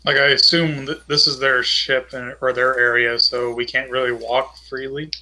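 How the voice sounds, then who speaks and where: Male game player, mid-pitched, bright edge, casual table chat. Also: little pitch movement, moderate pace, poor audio.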